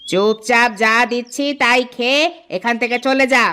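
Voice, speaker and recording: A woman voicing a harsh, bossy stepmother in a cartoon, sharp and scolding. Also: a little high, fairly even intonation, moderate pace, clear, resonant tone. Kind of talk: cartoon voice acting.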